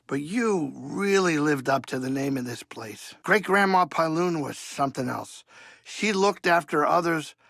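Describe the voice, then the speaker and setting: An actor playing a cranky but soft-hearted older alien saloon keeper in a video game, gravelly, wisecracking and folksy. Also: male voice, fairly high pitch, unhurried, no echo, studio quality, full character voice. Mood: warm, earnest. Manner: grumbling, wisecracking, excitable, folksy. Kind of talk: video game character dialogue.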